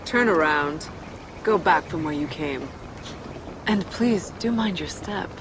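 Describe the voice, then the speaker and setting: An actress playing a haughty young fire-giant princess in a video game, sardonic and defiant. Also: female voice, deep voice, lively intonation, takes it slowly, background noise. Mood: cool, threatening. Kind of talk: video game cutscene acting.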